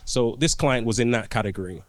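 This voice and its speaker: A man, a doctor guest on a podcast, explaining his work through anecdotes in relaxed conversation and often restarting his phrases. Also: medium pitch, a little fast, dry recording.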